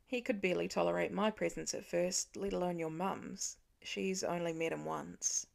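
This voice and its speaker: A woman voicing a weary, protective father, earnestly and gently explaining things to his children in an audiobook. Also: on the low side, steady tone, moderate pace, creaky. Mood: subdued, sad. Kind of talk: acted audiobook dialogue.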